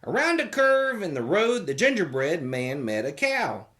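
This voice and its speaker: Read-aloud host for kids, playful and theatrical, with hugely swooping pitch. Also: sing-song, moderate pace, a bit bright. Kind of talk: expressive storybook reading.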